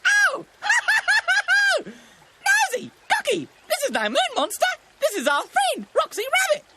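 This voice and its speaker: Loud excitable magpie for a kids story, super high voice, swooping all over. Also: female voice, normal speed, very noisy, full character voice. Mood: shocked, excited. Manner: loud, exclamatory, eager. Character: Sticky Beak, bold excitable magpie bush detective. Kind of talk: children's storybook character voice.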